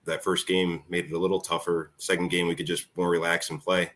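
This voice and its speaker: A man's voice: deep voice, little pitch movement, brisk, fairly bright tone. A man, a former ballplayer, casually reminiscing as an interview guest in a matter-of-fact way. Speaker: former college baseball player, guest.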